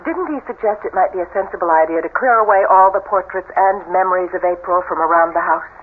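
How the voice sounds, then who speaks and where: An actress playing a practical, wry sister of the house's master in an old radio drama, pointed in delivery. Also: female voice, fairly low pitch, fast, phone-quality sound, lightly acted. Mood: wry, disapproving. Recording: thin old radio broadcast, faint noise.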